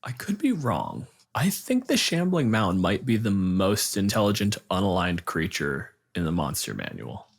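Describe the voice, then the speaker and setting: Low-voiced man in casual gaming chat. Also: gravelly. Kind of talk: casual tabletop gaming discussion.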